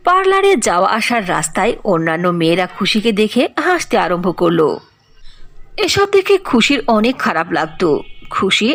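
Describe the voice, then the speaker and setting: A woman tells a scripted cartoon story. Her voice is medium in pitch, with expressive intonation that moves up and down a great deal. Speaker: narrator.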